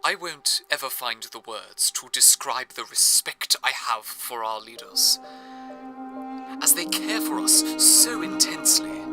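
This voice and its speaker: A man playing an awestruck, devoted radio broadcaster, narrating in an earnest, reverent, descriptive way. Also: fairly high pitch. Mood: overwhelmed, reverent. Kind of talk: scripted first-person radio narration.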